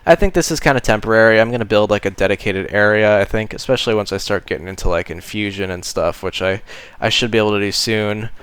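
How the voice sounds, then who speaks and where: Low, smooth-voiced man rambling casually. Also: quick pace, no room sound. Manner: relaxed and rambling, many ums and uhs. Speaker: modded game player giving a base tour. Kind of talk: casual narrated gameplay tour.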